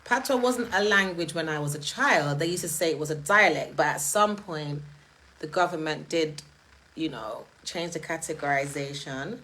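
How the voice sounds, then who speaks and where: A British-accented woman talks straight to her live-stream audience, her voice sitting a touch low. She is emphatic, leans on rhetorical questions and moves quickly from point to point in relaxed, conversational speech. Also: poor audio. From United Kingdom. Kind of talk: casual live-stream talk, answering chat comments.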